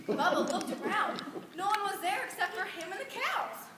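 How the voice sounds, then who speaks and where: Animated, very high-pitched woman storyteller. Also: normal speed, crowd noise. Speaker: narrator. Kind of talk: scripted storybook narration in reader's theater. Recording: very noisy echoey room, distant microphone.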